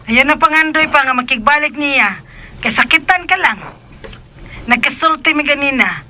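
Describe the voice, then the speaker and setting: An actress with a Filipino Cebuano accent playing a protective, blunt, excitable mother who scolds and exclaims in a radio drama. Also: high-pitched, normal speed, full character voice, poor audio. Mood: scolding, insistent.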